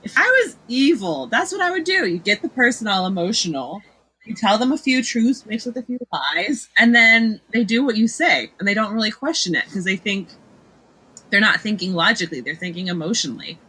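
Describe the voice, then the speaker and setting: Woman, husky voice, bright edge, casual podcast book panelist, emphatic, run-on explanations. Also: medium pitch, lively intonation, a little fast, poor audio.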